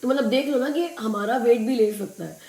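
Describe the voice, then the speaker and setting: A woman from India with a naturally husky voice, hoarse and strained today, chatting casually to camera as a family vlogger and laughing often. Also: fairly high pitch, fairly quick, darkish tone, roomy sound.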